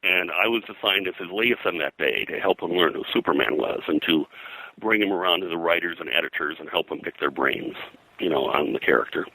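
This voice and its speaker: An older man reminiscing as an interview guest in a casual, halting, digressive way, often clearing his throat. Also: low-pitched, bright, forceful tone, no room sound, low-quality recording. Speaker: interview guest, comics colorist and pop culture historian.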